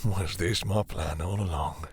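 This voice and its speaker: A man's voice: low voice, fairly even intonation, a little hiss or hum. A man playing a dominant, possessive vampire in an intimate audio roleplay, taunting and seductive in a theatrical way. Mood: smug taunting. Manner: slow, taunting, seductive, theatrical, sighing between phrases. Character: dominant, possessive male vampire, smugly menacing.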